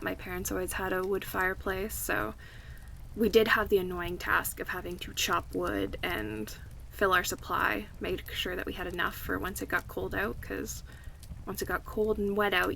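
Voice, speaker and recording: A woman playing an affectionate, chatty friend in a casual, intimate ASMR roleplay, speaking softly and in a relaxed way. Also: mid-range voice, normal speed, vocal fry. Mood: fondly reminiscing. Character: affectionate young woman, cozy longtime friend hosting a night in. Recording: faint background ambience noise.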